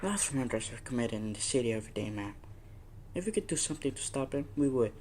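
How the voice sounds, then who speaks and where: Man acting a weary, mellow-voiced desk cop. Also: mid-range voice, animated, slight background noise, natural voice. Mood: matter-of-fact. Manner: patronizing, unhurried brush-off. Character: weary, dismissive, patronizing city police desk officer. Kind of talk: old-time radio suspense play acting.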